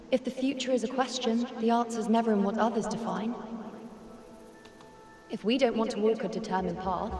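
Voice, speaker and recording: An actress voicing a determined, defiant young priestess, earnest and resolute, in a dramatic video game. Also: female voice, on the high side, in a large hall, natural voice.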